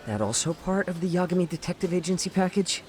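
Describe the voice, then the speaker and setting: An actor playing a confident, shrewd detective in a video game, with a casual, informal way of talking. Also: male voice, sits high, animated, fast, noisy background. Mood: teasing. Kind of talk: dramatic game cutscene dialogue.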